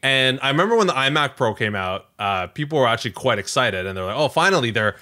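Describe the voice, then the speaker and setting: Animated bright-voiced man chatting casually. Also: mid-range voice, quick pace. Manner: animated, conversational, filler-heavy with frequent "like" and "you know". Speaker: podcast co-host. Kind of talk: casual tech news chat.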